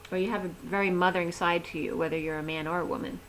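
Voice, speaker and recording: A woman giving a rambling, chatty tarot reading, talking with a voice that is going hoarse. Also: lowish voice, fairly even intonation, quick pace, fairly bright tone, slight background noise. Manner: chatty, rambling, laughs easily. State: losing her voice. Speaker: tarot reader. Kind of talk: casual tarot reading to camera.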